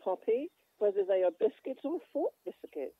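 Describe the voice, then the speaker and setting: An actor, a woman playing an ageing, forgetful and gently self-mocking villager in a radio play. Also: a little high, big pitch swings, normal speed, husky, dry recording, phone-quality sound, exaggerated voice. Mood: gently wry.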